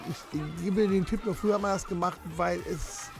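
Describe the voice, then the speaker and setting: A man's voice: sits high, normal speed, gravelly, faint music behind. A man hosting a podcast guessing game among friends, teasing the others and giving hints between laughs. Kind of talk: casual chat among friends.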